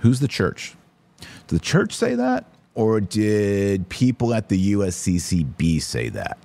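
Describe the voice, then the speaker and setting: A man's voice: on the low side, big pitch swings, measured pace, vocal fry, mellow. A man hosting a podcast in a casual, blunt, conversational livestream style, talking directly to his viewers. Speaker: podcast host and author. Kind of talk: casual livestream commentary, reading viewer comments.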